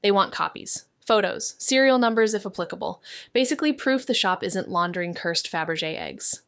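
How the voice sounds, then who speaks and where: A woman's voice: mid-range voice, expressive, moderate pace, fairly bright tone, no room sound. An actress playing a wry, guarded young woman, a former med student, in a naturalistic audio drama with dry, understated delivery. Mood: dry, businesslike.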